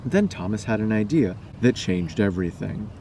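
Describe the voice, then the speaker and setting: A man's voice: on the low side, lively intonation, normal speed, creaky, dark tone. Man reading a scary story, distant mic. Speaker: storyteller and vlogger. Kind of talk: expressive read-aloud of a scary story. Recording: distant mic, noisy background.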